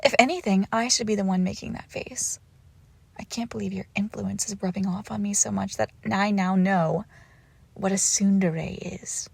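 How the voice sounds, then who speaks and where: Breathy, teasing vampire girlfriend acted by a woman. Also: medium pitch, fairly even intonation, no room sound. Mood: playful, haughty. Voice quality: breathy, often dropping into whispers. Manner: teasing, haughty, slow and deliberate. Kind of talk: intimate romantic audio roleplay, often whispered.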